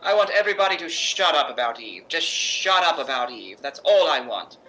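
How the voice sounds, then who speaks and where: A man's voice: on the high side, sing-song, fairly quick, bright, forceful tone, rough recording. A man playing an imperious middle-aged female stage diva in a radio drama, wry and declamatory. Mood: sardonic, giddy. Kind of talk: recreated 1950s radio drama acting.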